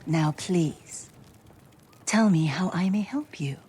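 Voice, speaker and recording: Slow-spoken, low-voiced poised noblewoman. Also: animated, slightly rough, heavily acted. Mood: composed authority. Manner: composed, polite, quietly authoritative. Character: poised, courteous noblewoman ruling a cove. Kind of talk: dramatic game cutscene acting.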